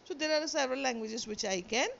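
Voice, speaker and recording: A woman's voice: high-pitched, expressive, fast talker, creaky, a bit bright, lo-fi sound. A woman teacher with an Indian accent, a native Sindhi speaker, reading a textbook story aloud and then explaining it line by line. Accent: Indian English. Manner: reads aloud then re-explains each line, switching into Hindi. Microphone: phone or screen recorder mic.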